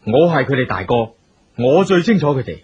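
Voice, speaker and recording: Bossy bitter older brother in radio drama, hong kong cantonese, raspy, swings up and down, bit lo-fi. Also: male voice, medium pitch, sing-song, brisk. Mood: bitter, weary. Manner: curt, scornful, authoritarian. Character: domineering, suspicious eldest brother, general manager in a wheelchair.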